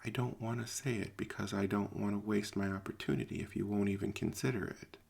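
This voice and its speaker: A man voicing a guarded, calculating woman traveler who bargains in a hushed, deliberate, withholding way in an audio drama. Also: lowish voice, fairly even intonation, gravelly. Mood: coaxing. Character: guarded, calculating female traveling companion, soft-spoken bargainer.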